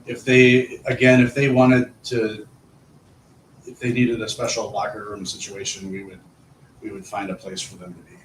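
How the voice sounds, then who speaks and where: A man's voice: lowish voice, level tone, moderate pace. A man speaking as a school administrator at a meeting, informally explaining things and often repeating his phrases as he goes. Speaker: school administrator reporting to a board. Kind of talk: informal discussion at a public meeting. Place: indoor meeting room. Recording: echoey room, distant mic, faint noise.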